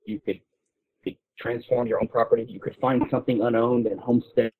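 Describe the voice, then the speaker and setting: A man speaks over a narrow, phone-like line with a rough, husky edge to his voice. He argues his case informally and intellectually, haltingly, often repeating words as he works toward each point. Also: medium pitch, fairly even intonation. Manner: halting, repeats words while building arguments. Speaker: guest speaker on a discussion show.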